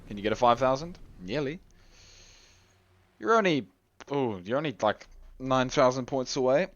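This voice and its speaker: A man giving chatty live commentary on a game tournament, his voice going hoarse. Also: mid-range voice, animated, normal speed. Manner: chatty, rambling, lots of restarts and laughs. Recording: dull lo-fi recording with faint music.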